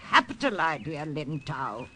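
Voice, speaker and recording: An actress playing a genteel, unflappable missionary widow in an old radio drama, prim and proper. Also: female voice, sits low, big pitch swings, a little slow, sharp tone, exaggerated voice. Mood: prim, composed. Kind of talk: 1940s-50s radio drama acting. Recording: noisy vintage radio recording.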